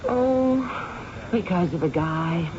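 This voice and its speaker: A woman's voice: on the low side, lively intonation, slow pace. Coy, tipsy woman, muffled vintage radio. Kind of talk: old-time radio drama acting. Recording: muffled, noisy vintage radio drama recording.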